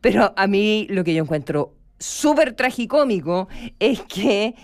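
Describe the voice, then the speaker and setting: A woman with a Chilean accent discussing and explaining on live radio, pausing deliberately and checking in with short tags. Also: mid-range voice, lively intonation. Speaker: radio show panelist.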